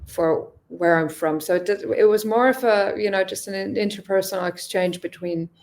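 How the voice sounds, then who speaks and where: A woman's voice: mid-range voice, normal speed, a little mellow. A young woman from Finland, a podcast guest, talking casually and thoughtfully with many restarts. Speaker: podcast guest, long-distance cyclist. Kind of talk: casual interview chat.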